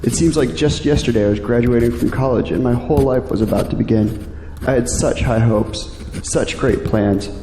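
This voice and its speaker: A man's voice: medium pitch, normal speed, reverberant, in a large hall, noisy background, lightly acted. A man playing an earnest young college graduate in an audio drama. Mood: weary, reflective. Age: young adult. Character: earnest young male college graduate, later a weary diarist.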